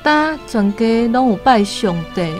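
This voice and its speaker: Woman playing devout older mother, Taiwanese accent, sorrowful and pleading, very slow delivery, radio drama, music clearly behind. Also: a little high, lively intonation. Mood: sorrowful, consoling.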